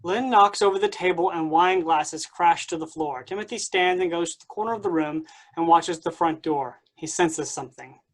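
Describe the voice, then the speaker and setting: A woman's voice: on the low side, normal speed. A woman reading aloud and hosting at a staged play reading. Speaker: stage-directions reader and moderator.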